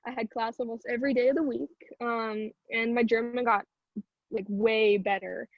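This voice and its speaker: A woman's voice: on the high side, fairly even intonation, moderate pace. A young American woman in her late teens chatting casually and informally about herself, with frequent filler words like a student. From Houston, United States. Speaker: singer and narrator being interviewed. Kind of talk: casual chat. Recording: dull, roomy sound.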